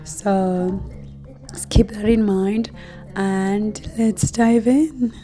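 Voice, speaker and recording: A woman hosting an astrology talk to her viewers, hoarse and losing her voice, speaking casually with many fillers and soft laughs. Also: medium pitch, faint music behind. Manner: slow, relaxed, many fillers and light laughs. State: losing her voice, deeper than usual. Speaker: astrology channel host.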